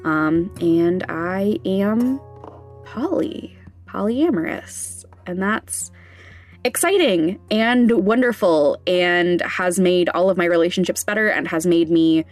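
A woman hosting a podcast, talking candidly and casually in a hesitant, halting, self-conscious way. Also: fairly low pitch, faint music behind. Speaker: podcast host.

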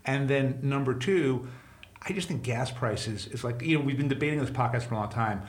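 A man's voice: medium pitch, brisk. A man hosting a podcast, asking questions in a chatty, rambling way. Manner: chatty, rambling, with many fillers. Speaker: podcast host. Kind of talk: casual podcast interview. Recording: roomy echo.

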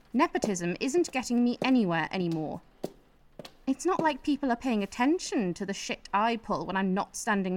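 Sardonic scheming political wife, high animated voice played by a woman. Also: no room sound. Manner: sardonic, exasperated, sweary. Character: scheming, bitter, foul-mouthed female politician, prime minister's ambitious wife. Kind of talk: radio play acting, monologue.

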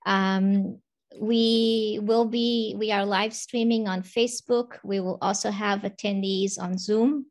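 A woman opening an online panel with announcements, speaking slowly and deliberately over a video call. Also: mid-range voice, steady tone. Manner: slow, deliberate. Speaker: panel moderator. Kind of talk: event announcements.

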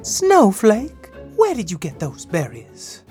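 A man's voice: sits high, huge pitch swings, slow, darkish tone, soft background music, pitched above the performer's own voice, heavily acted. A man voicing an exasperated farm wife in a children's story, fretful and scolding. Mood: scolding, dismayed. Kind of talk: acted children's story narration.

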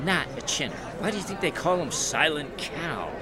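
Actor as high-pitched, brash 1920s wise guy. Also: sing-song, normal speed, a crowd in the background, background noise. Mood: wisecracking. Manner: loud, argumentative, wisecracking. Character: brash, excitable male Boston sports fan, wisecracking. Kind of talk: audio drama period dialogue. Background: music.

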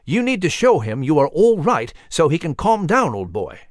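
A man voicing a proper, old-fashioned gentlemanly bird in a storybook, formal and urging. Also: on the high side, huge pitch swings, normal speed, no echo, clean studio sound. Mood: urgent.

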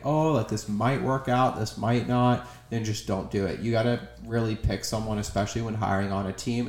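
A man's voice: fairly low pitch, a little mellow, reverberant. A young man co-hosting a casual podcast, upbeat and blunt, swearing for emphasis. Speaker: podcast co-host.

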